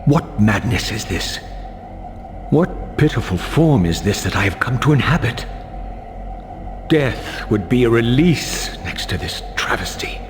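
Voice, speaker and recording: An actor playing a proud, bitter vampire lieutenant turned tormented wraith, speaking in formal, grave, theatrical style in a video game. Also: male voice, mid-range voice, big pitch swings, a little slow, over music. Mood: anguished, bitter. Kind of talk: dramatic video game voice acting.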